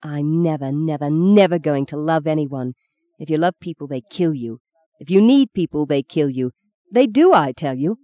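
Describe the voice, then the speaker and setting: A woman reading a story, voicing a sharp-tongued, defiant young woman who is a budding actress, in a cutting, exasperated manner. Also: fairly low pitch, very animated, normal speed, dry recording. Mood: anguished.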